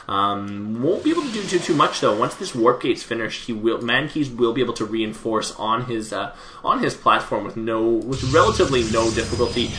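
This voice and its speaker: A young man casting a video game match by himself, slightly hoarse after a long day, chatty with lots of fillers. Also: medium pitch, bright, forceful tone, a little hiss or hum. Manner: chatty, many fillers, laughs at plays. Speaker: esports caster. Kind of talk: solo play-by-play game commentary.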